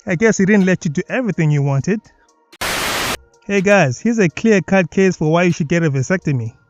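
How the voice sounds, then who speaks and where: A man's voice: high-pitched, expressive, fairly quick, muffled recording, over music. A man with a South African accent hosting a reaction commentary, mocking and sarcastic in tone. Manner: mocking, sardonic. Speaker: commentary video host.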